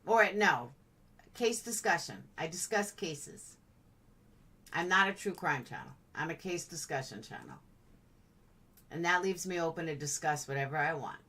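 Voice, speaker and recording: A woman's voice: low voice, lively intonation, moderate pace, long pauses, bright, forceful tone, distant mic. A woman live-streaming in a blunt, chatty, playful way, casually answering comments as they come in.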